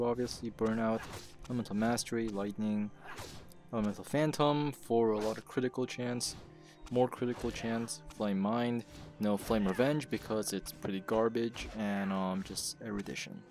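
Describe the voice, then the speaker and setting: A man's voice: fairly low pitch, a little mellow. A man with a sore throat from being sick, talking through a casual game guide in a candid, rambling way that corrects itself as he goes. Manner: candid, rambling, self-correcting, with brief laughs. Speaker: gaming video host. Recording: voice-over with clear background music.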